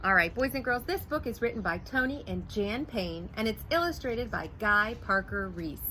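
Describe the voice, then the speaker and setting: A woman teacher slowly reading a picture book aloud to first graders, clear and expressive. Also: medium pitch, slight background noise, rough recording. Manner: slow, clear, expressive storytelling. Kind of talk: read-aloud for young children.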